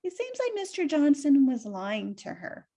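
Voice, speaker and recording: High-pitched woman reading to children. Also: huge pitch swings, normal speed, warm tone. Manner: explanatory, addresses children simply. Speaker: children's author reading aloud and presenting. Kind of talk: children's story read-aloud and explanation.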